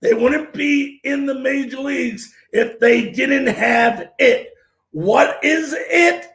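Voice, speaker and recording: A hoarse man hosting a sports talk show, his voice pitched high and gravelly with fry. He talks loud and opinionated, often near a shout, in an echoey room.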